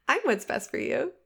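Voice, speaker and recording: A woman's voice: pushed and bright, lightly acted. A young woman playing an obsessive, possessive lover in an intimate audio roleplay, giggly and coaxing, often dropping to a whisper. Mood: giddy.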